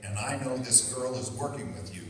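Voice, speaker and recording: Man as gruff dogged cop, radio drama, accusatory bluster, medium pitch, distant in a reverberant hall. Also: brisk, lightly acted. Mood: accusing. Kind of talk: old-time radio drama acting. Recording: echoey hall, distant mic, faint noise.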